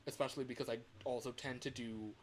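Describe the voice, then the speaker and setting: A man's voice: medium pitch, quick pace. A man chatting casually on a livestream in a rambling, self-deprecating way. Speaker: livestreamer recording game voice lines. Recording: steady fan hum in background.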